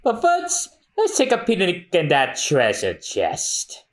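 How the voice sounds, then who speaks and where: A man's voice: sits high, wildly swooping pitch, takes it slowly, voice pushed up, heavily acted. A man doing sneering greedy pirate goons. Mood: gloating. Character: greedy male pirate thugs, mocking and sly.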